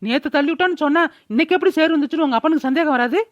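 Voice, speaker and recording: A woman voicing a sharp-tongued village mother in a cartoon, brash and scolding, with a rural Tamil Nadu accent. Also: sits high, rapid-fire, muffled recording, loud music behind the voice, close to an everyday voice. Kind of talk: cartoon village drama voice-over.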